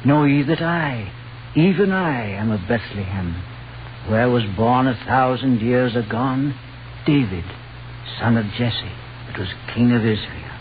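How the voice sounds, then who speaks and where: A man's voice: medium pitch, close to an everyday voice. Tinny-sounding biblical shepherd, played by a man. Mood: proud. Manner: measured, archaic biblical speech. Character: curious, proud shepherd of Bethlehem. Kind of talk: 1930s radio drama acting. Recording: tinny, hissy 1930s radio recording.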